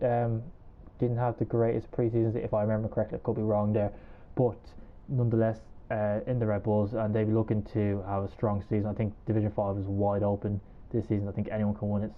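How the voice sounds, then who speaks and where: Irish man on a tinny call line. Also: fairly low pitch. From Ireland. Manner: chatty, hedging, apologetic. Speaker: podcast host. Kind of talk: casual podcast chat. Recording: tinny phone-like call audio.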